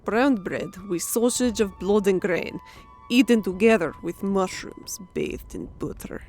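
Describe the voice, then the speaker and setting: A man's voice: high voice, lively intonation, unhurried, darkish tone, soft background music, voice pushed up, full character voice. A man voicing a devoted tailed alien woman who speaks in stilted, oddly ordered phrasing, in an audiobook fantasy story. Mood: earnest, pleased. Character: young female tailed alien, devoted, speaking broken formal English.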